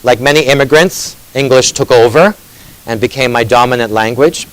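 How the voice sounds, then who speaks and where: A man's voice: medium pitch, moderate pace, low-quality recording. A middle-aged man whose first language was Greek speaks at a conference podium, explaining his points earnestly in a mid-range voice. He sometimes stumbles and restarts a phrase, then carries on steadily. He sounds like an experienced former teacher addressing other educators. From Canada. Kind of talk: conference presentation. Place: conference hall.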